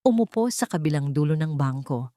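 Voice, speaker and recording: A woman narrates a romantic drama in a fairly low voice. The recording is dry and close, with very little room sound, and the delivery is that of a scripted storyteller. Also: animated, brisk, dark tone, no echo.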